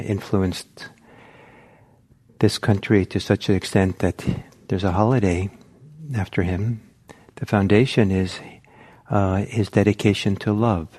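Slow, low-voiced man guiding meditation. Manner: gentle, halting, reflective with long pauses. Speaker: meditation teacher. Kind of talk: guided meditation talk.

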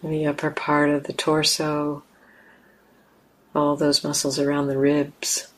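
A woman leading a guided meditation, speaking calmly and gently with long, unhurried pauses. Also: deep voice, low-quality recording. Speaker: meditation teacher.

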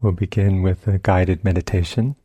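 A man leading a guided meditation as a teacher, speaking gently in short phrases with long, unhurried pauses. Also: low-pitched, fairly even intonation, husky, no echo. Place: retreat center meditation session.

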